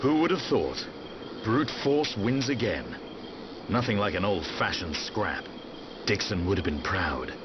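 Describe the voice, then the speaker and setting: A British man playing a seasoned, confident warrior in a video game, speaking with wry, unhurried relish. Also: fairly low pitch, sing-song, noisy background. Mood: wry satisfaction. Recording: dull game capture with buzzing ambience.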